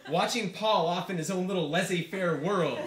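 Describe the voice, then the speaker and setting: Higher-voiced man reading comically, echoey noisy room. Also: normal speed. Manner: theatrical comic delivery, swearing, mimicking quoted speakers. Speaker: author reading own essay aloud. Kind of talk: live reading of a comic gonzo essay. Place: live reading event in a bar, before an audience. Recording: echoey bar room, noisy live recording. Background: audience laughter, room noise.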